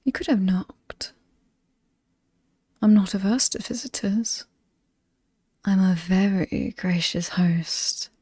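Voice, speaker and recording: Woman as mysterious British demon hostess for horror ASMR: mellow, very close and dry, breathy whispers, playful menace. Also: medium pitch, no room sound. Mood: playful, alluring. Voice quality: breathy, often dropping to a whisper. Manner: slow, teasing, coolly amused and aristocratic. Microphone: close, dry microphone.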